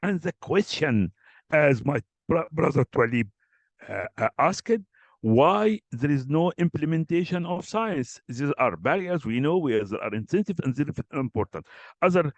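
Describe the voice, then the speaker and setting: A man with a fairly high voice chairs a live session, speaking to colleagues in a dry, close sound. His delivery is earnest but hesitant, with frequent fillers. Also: lively intonation, normal speed, dry recording. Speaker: webinar chair.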